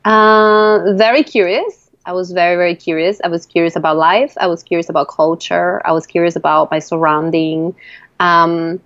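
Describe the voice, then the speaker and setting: Female interview guest from Argentina. Slightly low voice, halting conversational answers, dry but muffled recording. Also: steady tone, a bit bright, dry recording. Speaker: entrepreneur guest.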